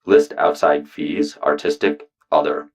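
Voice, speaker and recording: A man reading poetry aloud in a flat, official manner, in clipped lists and commands. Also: very deep voice, measured pace. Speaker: poetry reader. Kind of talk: deliberate poetry recitation.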